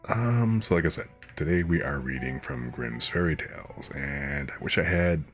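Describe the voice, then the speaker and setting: Very deep hoarse man, laid-back. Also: normal speed, soft background music. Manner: relaxed, rambling, soft-spoken. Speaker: streamer host. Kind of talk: laid-back stream chat.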